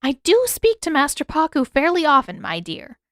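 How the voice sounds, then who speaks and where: An older village mother, as a woman reader plays her, firm and shrewd, in a dry, close recording. She speaks in a measured, matter-of-fact way at a somewhat raised pitch, never giving ground in this storybook drama. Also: wildly swooping pitch, fairly bright tone, no room sound, heavily acted. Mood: dry, assured.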